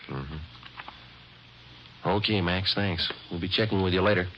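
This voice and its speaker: Fields: a deadpan police sergeant. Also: male voice, deep voice, a little slow, background noise. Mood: terse. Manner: clipped, deadpan. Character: male police sergeant narrator, terse, matter-of-fact. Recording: muffled vintage radio broadcast.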